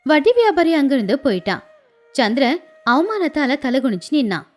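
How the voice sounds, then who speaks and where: A woman narrating a scripted animated moral tale as a storyteller. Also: sits high, normal speed, soft background music.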